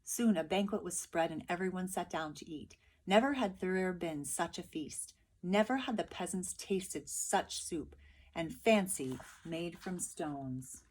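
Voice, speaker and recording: A woman's voice: fairly low pitch, unhurried. A woman teacher reading a picture book aloud expressively, with emphatic delivery and questions for her listeners.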